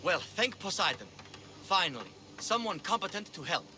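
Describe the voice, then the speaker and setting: A man's voice: sits high, very animated, normal speed, sharp tone, a little hiss or hum, exaggerated voice. A man playing an overworked, ruthless pirate lieutenant in a video game, blunt and world-weary. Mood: forceful, satisfied. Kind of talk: video game cutscene acting.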